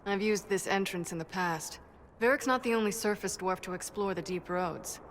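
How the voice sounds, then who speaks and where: A woman's voice: medium pitch, normal speed, lightly acted. Echoing reverb, background noise, brash female dwarf smith acted by a woman, blunt, wry, defensive, game cutscene. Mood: wry, casual. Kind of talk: video game cutscene acting.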